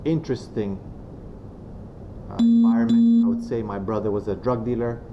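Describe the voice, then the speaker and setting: A man from Romania giving a religious talk to students as a guest speaker. He is soft-spoken and unhurried, and he pauses often and uses many fillers. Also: medium pitch, sing-song, soft background music. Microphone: venue microphone. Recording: dull lo-fi sound with clear background noise.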